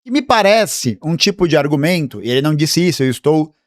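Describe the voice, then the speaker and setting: A Brazilian man delivers political commentary in a quick, argumentative, lecturing flow. His voice sits fairly high for a man. The sound is dry and close, with no room echo. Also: sing-song. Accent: Brazilian Portuguese. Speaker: host commenting on political video clips.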